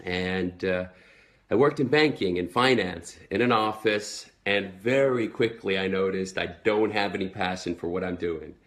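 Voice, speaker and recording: A man's voice: low voice, a little slow, a bit bright. A Swedish man in his twenties with an American accent, talking earnestly and motivationally to followers in a live video, with many fillers. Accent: American, learned in the United States. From Sweden. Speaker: network marketing entrepreneur.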